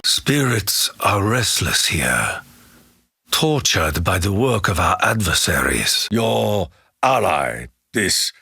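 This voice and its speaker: An actor playing a solemn, brooding Japanese samurai in a video game, speaking formally and portentously in a gravelly, husky voice with a Japanese accent. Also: male voice, sits low, pushed and bright, no room sound, studio quality, exaggerated voice. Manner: formal, portentous, deliberate delivery. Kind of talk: theatrical video game character lines.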